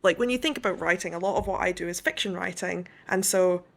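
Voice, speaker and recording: A woman's voice: on the low side, fast talker, gravelly, fairly bright tone. A young woman from the west coast of Scotland, an author telling her story as an interview guest, thoughtful and detailed.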